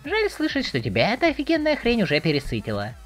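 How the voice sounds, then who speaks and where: A woman's voice: mid-range voice, fast talker, faint music behind. A woman playing an eager, naive teenage boy, chatty and inquisitive, in a dramatic webcomic reading. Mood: curious. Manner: excitable, inquisitive, expressive.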